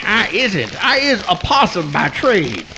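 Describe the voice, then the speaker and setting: A man's voice: high voice, huge pitch swings, a little slow, bright, forceful tone, slight background noise, full character voice. A man playing a small, earnest and wry swamp critter in a comic radio play. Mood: matter-of-fact.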